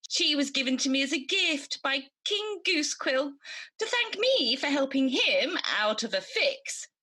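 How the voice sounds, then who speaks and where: A woman's voice: high voice, big pitch swings, unhurried, pushed and bright, heavily acted. A woman playing a good magical fairy in a radio pantomime, theatrical, chatty and playfully smug. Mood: smug, boastful.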